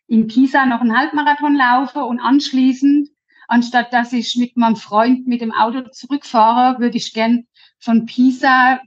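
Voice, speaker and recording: A woman from Lower Franconia with a Lower Franconian accent, a cheerful, talkative podcast guest who often restarts her sentences. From Lower Franconia, Germany. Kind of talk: casual interview chat. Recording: dull lo-fi sound.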